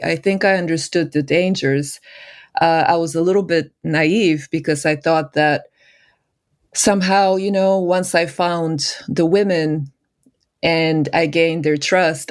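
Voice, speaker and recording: A middle-aged woman from Bulgaria, a filmmaker answering interview questions thoughtfully, often pausing to search for words. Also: sits low. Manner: reflective, searching for words with restarts. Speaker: filmmaker guest.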